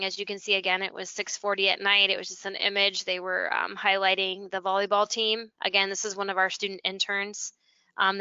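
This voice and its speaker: A woman's voice: mid-range voice, bright, forceful tone, smooth, no echo, highs cut off. An American woman explaining things conversationally, walking through examples like a guest presenting in a webinar. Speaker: school technology integration specialist, webinar guest. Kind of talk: conversational software walkthrough.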